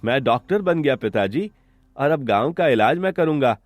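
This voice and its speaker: A man's voice: mid-range voice, animated, normal speed, no room sound, soft background music, natural voice. A man playing an idealistic, respectful young doctor son in a cartoon story. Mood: earnest.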